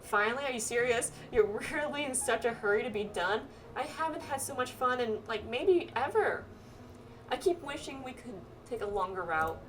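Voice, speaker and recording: A woman's voice: fairly high pitch, lively intonation, moderate pace, distant mic, slight background noise, natural voice, poor audio. A woman voicing a playful, impatient young female pilgrim from a fantasy game, with a whiny, mischievous manner. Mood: exasperated.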